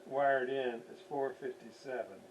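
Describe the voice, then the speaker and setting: Guy doing a slow unscripted bench demo, thinking out loud, mic a bit far off, faint noise behind him. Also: mid-range voice, steady tone. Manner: halting, thinking aloud while working. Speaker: radio restoration hobbyist, demonstrator. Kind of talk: unscripted hands-on bench demonstration.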